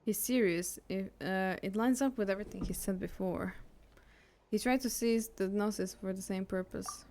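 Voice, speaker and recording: A woman's voice: mid-range voice, moderate pace, darkish tone, slight background noise. A woman game streamer giving casual, off-the-cuff livestream commentary.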